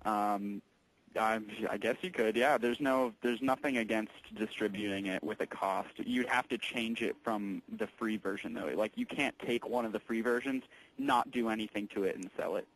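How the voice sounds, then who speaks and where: A man working as a tech support employee on a call, explaining things patiently in a halting, informal way. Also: fairly low pitch, a bit bright, rough recording. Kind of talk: casual explanatory phone conversation.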